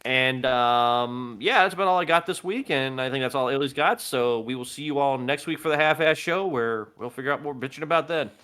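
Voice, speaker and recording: A man's voice: mid-range voice, brisk, hardly any pauses, bright, forceful tone, clean tone. A middle-aged man co-hosting a casual podcast, joking and rambling and laughing often. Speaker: podcast co-host. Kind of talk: casual chat. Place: home streaming setup.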